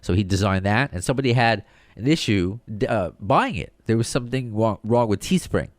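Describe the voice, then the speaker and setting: Excited rambling American man, low voice. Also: brisk, dry recording. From United States. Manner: excited, rambling, many restarts and fillers. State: short on sleep. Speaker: podcast host. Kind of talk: solo podcast monologue.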